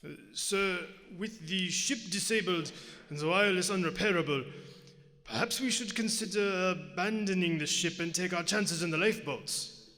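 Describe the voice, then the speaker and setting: An anxious junior submarine officer played by a male actor, deferential, with a high, forceful edge to the voice. It is live radio theater in a reverberant hall, with music behind. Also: moderate pace.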